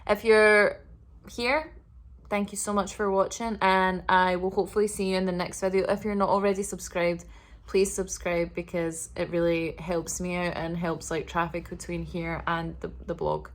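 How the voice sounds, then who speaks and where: A woman vlogging a casual apartment tour indoors, talking in a monotonous, relaxed way with lots of fillers. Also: on the low side, normal speed. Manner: monotonous, flat delivery; relaxed, filler-heavy talk.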